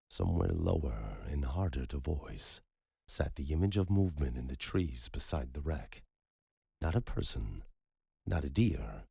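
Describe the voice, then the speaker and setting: A man narrating a story in a measured, unhurried storytelling manner. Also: a bass voice, vocal fry, warm tone, slightly rough, dry recording, studio quality. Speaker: audiobook narrator. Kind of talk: scripted narration.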